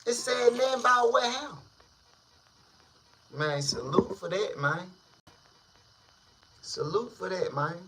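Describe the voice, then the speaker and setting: A man hosting a casual street-news commentary channel, talking in slang with drawn-out, emphatic delivery. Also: sits high, animated, fairly bright tone, slight background noise.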